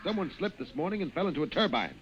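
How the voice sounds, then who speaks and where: A brusque boss, muffled radio. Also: male voice, a little high, very animated, very fast. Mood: alarmed, urgent. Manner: curt, commanding. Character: brusque, superior, impatient male boss. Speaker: boss character. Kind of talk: 1950s radio drama acting. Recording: muffled vintage radio recording, faint noise.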